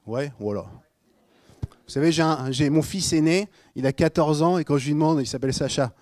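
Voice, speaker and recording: A middle-aged male pastor with a Norman French accent speaks to his congregation. His voice sits a little high and sounds dry, with little room around it. He preaches conversationally, joking and addressing his listeners directly. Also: fast, a little mellow, dry recording. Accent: northern French, Normandy. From Normandy, France. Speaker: guest pastor. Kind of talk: informal sermon to a congregation.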